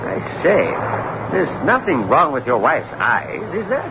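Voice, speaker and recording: Breezy upper-class chum, chatty, swooping delivery, 1960s radio suspense, muffled noisy recording. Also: male voice, mid-range voice, wildly swooping pitch, a little slow. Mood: breezy, curious. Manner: chatty, old-boy politeness. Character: chummy, breezy upper-class shipboard acquaintance. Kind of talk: 1960s radio horror acting.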